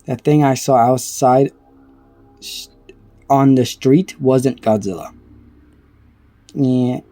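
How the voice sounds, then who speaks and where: A man giving casual reaction commentary, reading aloud haltingly and stumbling over words between offhand remarks. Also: mid-range voice, warm tone, soft background music. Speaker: reaction video creator.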